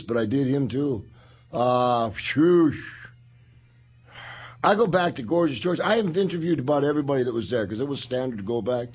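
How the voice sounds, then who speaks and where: A man's voice: a little high, slightly rough. An older man hosting a podcast, telling swaggering anecdotes in a casual chat with frequent laughter. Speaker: podcast host.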